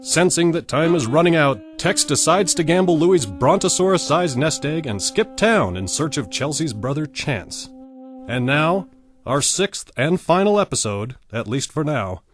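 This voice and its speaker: A male announcer for an old-time radio serial, reading a scripted recap. His voice sits a little high, over faint guitar music. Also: sing-song, measured pace. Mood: dramatic.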